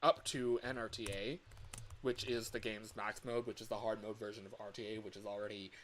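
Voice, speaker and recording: A man talking casually on a livestream as a game developer, thinking aloud in a hesitant, halting way. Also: fairly low pitch, flat delivery, fairly bright tone, slight background noise.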